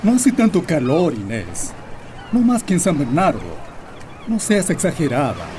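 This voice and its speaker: Radio play: dismissive, restless Chilean husband acted by a man, expressive pitch swings, loud background noise. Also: a little slow. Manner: brusque, dismissive. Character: curt, dismissive husband Don Manuel, unbothered and restless. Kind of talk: Spanish-language radio drama acting. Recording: noisy outdoor ambience with bird calls.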